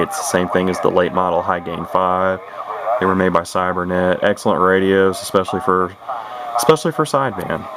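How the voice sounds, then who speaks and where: Male hobbyist presenter, deep voice, unscripted and halting delivery with repeated words, recorded with clear hissy radio noise behind him. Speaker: radio collector presenting his own equipment. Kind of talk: rambling casual product showcase. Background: radio speaker playing static.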